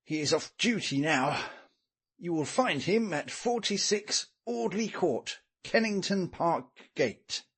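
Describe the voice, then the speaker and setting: Casting: male reader doing sceptical police detective, raised husky pitch, slow swooping delivery, storybook mystery. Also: lively intonation, a bit bright, heavily acted. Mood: matter-of-fact.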